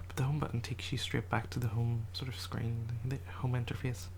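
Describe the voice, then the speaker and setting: An ASMR creator in a quiet house, whispering a soft, rambling show and tell and often correcting themselves, voice a little rough from a cold. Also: medium pitch, flat delivery, brisk, a little hiss or hum. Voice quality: whispered, soft-spoken delivery. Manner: rambling, self-correcting, chatty.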